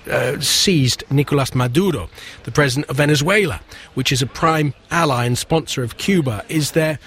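A man anchoring a news broadcast in a studio, questioning a correspondent in a clear, conversational way. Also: medium pitch.

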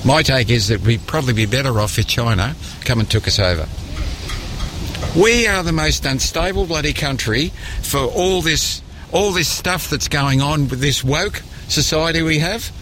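A man's voice: a little high, normal speed, noisy background. An older man, a businessman talking informally in an interview in a blunt, emphatic way.

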